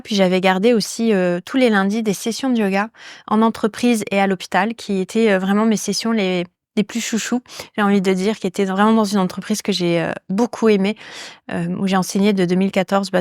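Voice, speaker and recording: Woman in her 30s, relaxed solo podcast host, hesitant fillers, dry close mic. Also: mid-range voice, no echo. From Nice, France. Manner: unhurried, many fillers and restarts. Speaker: yoga teacher and podcast host. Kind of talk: casual solo podcast monologue.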